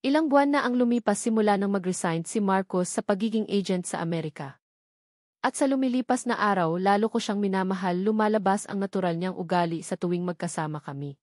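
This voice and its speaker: Female narrator, Filipino accent, medium pitch, scripted first-person storytelling with very little room sound. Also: a little fast, a little mellow, no echo, clean studio sound. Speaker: audiobook narrator.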